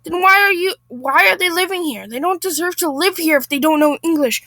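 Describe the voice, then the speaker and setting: A woman voicing an outraged, entitled woman in a story, shrill and indignant, shouting at other diners. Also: animated, unhurried, dry recording, studio quality, heavily acted.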